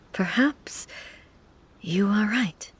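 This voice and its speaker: An actress playing a dignified, gentle female ruler in a video game, speaking in a composed, solemn, formal way. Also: medium pitch, a little hiss or hum. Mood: sad, tender. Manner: measured, formal. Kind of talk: video game character acting.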